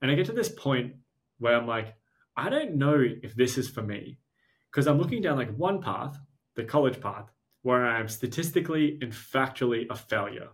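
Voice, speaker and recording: A man's voice: medium pitch, animated, normal speed. A young adult man with an Australian accent, a podcast guest telling his story in a casual, self-deprecating way with frequent restarts. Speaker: podcast guest, startup founder.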